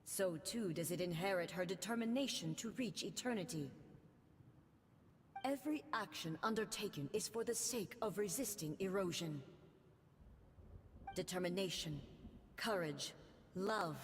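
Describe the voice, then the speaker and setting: An actress voicing a regal divine woman ruler in a game, speaking in a stately, measured, formal way. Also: mid-range voice, a little hiss or hum, natural voice. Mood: solemn. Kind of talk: game character voice acting.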